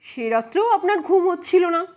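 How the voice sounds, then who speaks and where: A woman's voice: very high voice, lively intonation, fast talker, darkish tone, voice pushed up. A woman voicing a probing male defence advocate in an audio story, questioning witnesses in a formal, insistent, pointed way. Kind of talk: dramatized courtroom questioning.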